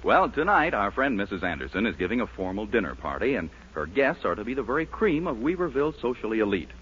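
An American man announcing in 1940s radio style, reading scripted ads and narration with polished, emphatic salesmanship and a husky voice. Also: lowish voice, sing-song, fast talker, fairly bright tone, soft background music. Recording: lo-fi vintage 1940s radio broadcast.

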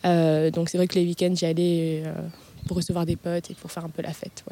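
A woman's voice: on the low side, flat delivery, fairly quick, mellow, a little hiss or hum. A woman in her mid-twenties from Lebanon, chatting as a guest in a casual interview, hesitant and full of fillers, holding a handheld mic outdoors in a park. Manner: hesitant, many fillers and restarts.

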